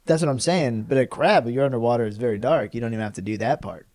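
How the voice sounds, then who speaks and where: A man's voice: mid-range voice, fast talker, a little mellow. A young man co-hosting a podcast, laid-back and laughing, often saying dude. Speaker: podcast co-host. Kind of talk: casual chat.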